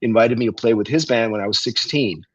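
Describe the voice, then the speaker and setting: A middle-aged man, born in Russia and raised in Cleveland, speaking as a guest musician in a relaxed, storytelling way with frequent ums. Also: mid-range voice, quick pace, slightly rough, dry recording, dull audio. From Russia, raised in Cleveland, Ohio. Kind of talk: casual interview talk. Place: restaurant.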